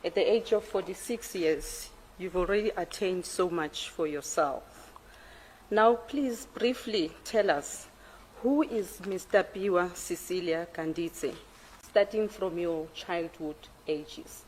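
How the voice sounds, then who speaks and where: A woman serving as a panel commissioner, putting formal questions in a deliberate, courteous way. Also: lowish voice, rough recording. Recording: faint background room noise.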